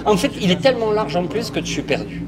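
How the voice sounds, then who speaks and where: A man's voice: sits high, big pitch swings, fast, a loud crowd. A middle-aged man, a motorcycle vlogger, talking with ironic, self-mocking humor in voice-over and pit chat. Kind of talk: wry scripted voice-over and casual pit chat.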